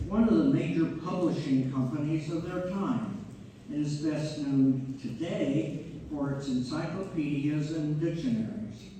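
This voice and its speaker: A man's voice: a little high, warm tone, lightly acted. A man playing a chatty, opinionated narrator who addresses the audience conversationally and rhetorically in a stage read-through. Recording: distant, echoey hall, faint noise.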